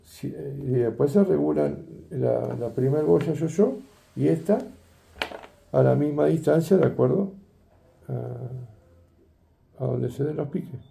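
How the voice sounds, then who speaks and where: A man's voice: mid-range voice, takes it slowly, creaky, long pauses, dark tone, roomy sound, slight background noise. An older man, teaching a fishing rig setup in a chatty tutorial and often asking his viewers "¿eh?" and "¿ves?". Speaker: fishing instructor. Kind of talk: hands-on tutorial demonstration. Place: indoor workroom at a table.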